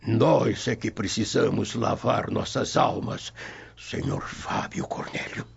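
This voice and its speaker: A man playing a grave, compassionate elderly Roman nobleman in a radio drama, with a gravelly voice. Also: lowish voice, wildly swooping pitch, takes it slowly, slight background noise, heavily acted. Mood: solemn reproach. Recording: dull lo-fi radio drama audio.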